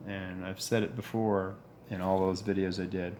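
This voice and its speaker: A man in his forties with a deep voice, talking earnestly in a reflective monologue, pausing and restarting as he goes. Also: level tone, darkish tone, slight background noise. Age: 40s. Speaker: vlogger.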